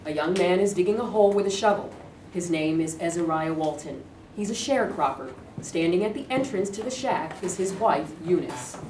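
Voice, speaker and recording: A woman's voice: lowish voice, expressive, moderate pace. A woman reading stage directions as scripted narration. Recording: echoey room, distant mic, clear noise.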